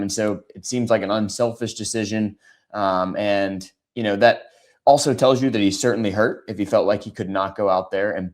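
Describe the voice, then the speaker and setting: Raspy, hoarse man hosting a sports podcast. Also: on the low side, a little mellow. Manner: conversational, many filler ums. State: hoarse from shouting. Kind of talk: casual sports analysis.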